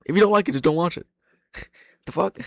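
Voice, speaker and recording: A man's voice: high voice, brisk, gravelly, fairly bright tone, no echo, clean studio sound. A man reacting off the cuff as a reviewer, blunt and opinionated, swearing freely as he talks. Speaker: reaction host and video reviewer. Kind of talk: casual off-the-cuff reaction commentary.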